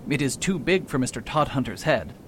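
An actor playing a self-assured, pompous detective doctor who reasons aloud in a radio play. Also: male voice, lively intonation, fairly quick, fairly bright tone, close to an everyday voice. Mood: deducing, pompous. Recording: rumbly background noise.

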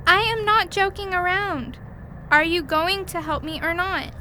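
A woman's voice: extremely high pitch, measured pace, heavily acted. A woman playing a desperate, earnest, headstrong little girl from another world in a radio play, with formal diction. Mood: urgent, pleading. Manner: formal diction with few contractions. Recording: loud car and outdoor noise behind.

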